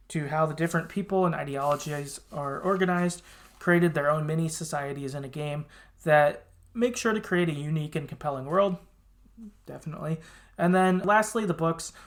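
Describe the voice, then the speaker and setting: A man hosting a podcast in a casual, rambling and agreeable chat style with some hesitation. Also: fairly high pitch. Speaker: podcast host.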